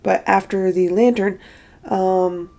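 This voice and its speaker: A young woman with a hoarse, stuffy morning voice, chatting casually and self-critically about her own paintings in an art vlog. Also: mid-range voice, steady tone, slow, warm tone. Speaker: art vlogger. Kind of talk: casual talking-head recap.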